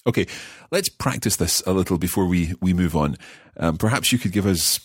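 A man hosting a language podcast lesson, speaking clearly and patiently and repeating phrases slowly. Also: sits low, expressive, no echo, clean studio sound. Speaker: language course host. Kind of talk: scripted podcast lesson chat.

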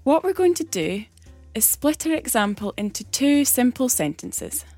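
A woman explaining a grammar rule in a clear, patient teaching manner, as a recorded segment. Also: fairly high pitch, big pitch swings, moderate pace, darkish tone, no room sound, faint music behind. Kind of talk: scripted explanation. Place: recorded separately from the studio.

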